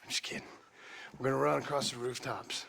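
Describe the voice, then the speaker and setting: A man playing a gruff former outlaw in a video game Western, gravelly, curt and dryly wry. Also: medium pitch, brisk. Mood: deadpan. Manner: dry, deadpan, curt. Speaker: actor in a video game cutscene.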